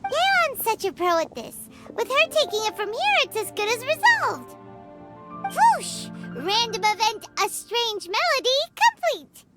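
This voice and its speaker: A woman's voice: extremely high pitch, very animated, unhurried, loud music behind the voice, full character voice. An actress voicing a tiny, cheerful female fairy-like companion who chatters eagerly and calls herself by name. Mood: upbeat. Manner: bubbly, refers to herself in third person. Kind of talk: video game voice acting.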